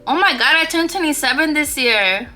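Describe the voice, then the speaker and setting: A woman's voice: sits high, lively intonation, moderate pace, pushed and bright, soft background music. A woman in her twenties hosting her own podcast, chatting casually and playfully with slang, asides and laughs. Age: 20s. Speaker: podcast host. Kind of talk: casual solo podcast chat.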